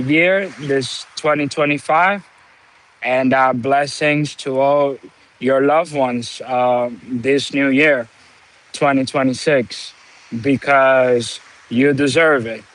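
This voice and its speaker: Slow, preachy man. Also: mid-range voice, fairly even intonation, fairly bright tone, poor audio. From Dominican Republic. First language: Spanish. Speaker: livestream host. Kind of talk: motivational live-stream talk.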